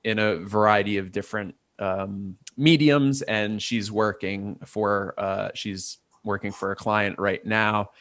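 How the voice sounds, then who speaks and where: A man's voice: on the low side, steady tone, measured pace, few pauses, fairly bright tone, dry recording. A man, a guest in a casual interview, thinking aloud and weighing ideas from many angles. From Port Chester, New York. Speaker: guest, artist and designer.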